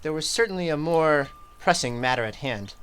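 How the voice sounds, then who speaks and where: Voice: mid-range voice, expressive, normal speed, a bit bright, clear, resonant tone, lightly acted. An actor playing a keen, precise cartographer and surveyor on a space crew in a radio drama. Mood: matter-of-fact. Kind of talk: audio drama acting. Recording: music with guitar behind.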